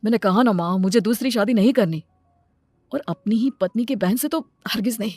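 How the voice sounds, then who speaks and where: A woman's voice: mid-range voice, brisk, dark tone, no room sound, soft background music, close to an everyday voice. A woman voicing an earnest, plain-spoken young father devoted to his children in a cartoon story. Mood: firm.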